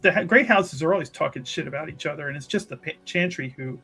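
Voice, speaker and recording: Higher-pitched man narrating his roleplay character. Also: fast talker, sharp tone, slightly rough, soft background music. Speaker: tabletop game player. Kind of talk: casual improvised roleplay narration.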